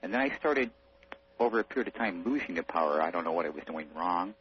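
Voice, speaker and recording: A man's voice: deep voice, expressive, fast talker. A man calling in to a radio show, telling a personal story in an earnest, rambling, casual way. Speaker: radio phone-in caller. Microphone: telephone. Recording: muffled phone line, faint hum and noise.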